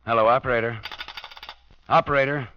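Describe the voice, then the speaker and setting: An actor playing a wry, tough private detective who narrates in a 1940s radio drama. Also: male voice, steady tone, brisk, a little hiss or hum, close to an everyday voice. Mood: dry. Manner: dry, wry, low-key. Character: wisecracking male private detective, dry and tough. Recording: dull lo-fi old radio recording.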